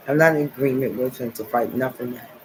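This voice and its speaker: Man, 40s, from New Jersey; repetitive, rambling solo vlog, mid-pitched voice over faint background noise. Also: fairly quick, poor audio. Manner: rambling, repetitive, filler "okay" and "nice". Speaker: vlogger. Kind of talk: rambling monologue to camera.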